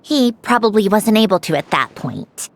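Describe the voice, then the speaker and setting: A woman's voice: medium pitch, sing-song, normal speed, slight background noise. A woman playing a proud young female genius mechanic in a video game, speaking with dry, precise self-assurance and a wistful streak. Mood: wistful, composed. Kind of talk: scripted video game dialogue.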